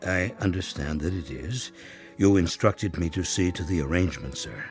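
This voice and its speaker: An actor playing a meek, deferential household servant in a radio drama, speaking formally and haltingly. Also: male voice, deep voice, faint music behind.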